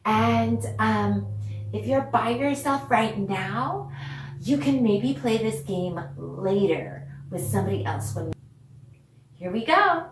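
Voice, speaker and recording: A woman's voice: mid-range voice, expressive, takes it slowly. A woman teaching a children's dance lesson on video, speaking directly to pupils in an encouraging way, asking questions and giving praise. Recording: roomy echo, distant mic, background noise.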